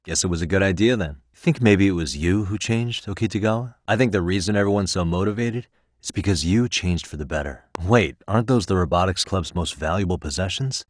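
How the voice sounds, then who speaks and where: A cool, principled investigator from a video game. The voice is very low-pitched and recorded dry and close, with no room sound. The delivery is casual and earnest, with an easygoing confidence. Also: male voice, brisk, no echo. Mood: warm, curious. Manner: casual, self-assured, earnest. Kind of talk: English video game voice acting.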